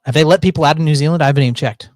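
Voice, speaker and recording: A man's voice: fairly high pitch, very fast, a little mellow, dry recording, studio quality. A man hosting a casual chat, rambling with stops and restarts and a joking tone. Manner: rambling, self-interrupting, jokey. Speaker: podcast host.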